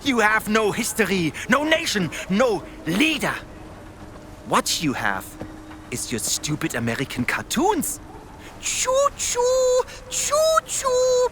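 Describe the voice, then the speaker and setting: An actor playing a fanatical, sneering Nazi officer in a video game, theatrical and grandiose, with a German accent. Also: male voice, high voice, wildly swooping pitch, slow pace, background noise, full character voice. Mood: mocking. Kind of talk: cinematic video game villain acting.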